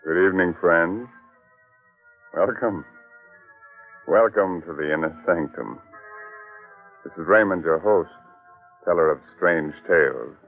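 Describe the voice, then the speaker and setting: Through a tinny, band-limited old radio broadcast with faint music, a ghoulish horror host. The deep voice is ominous and drawn out, sinister and mock-welcoming, in 1940s radio horror style. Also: male voice, takes it slowly, long pauses. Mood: sinister welcome. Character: sinister, ghoulish male horror host, teasing teller of strange tales.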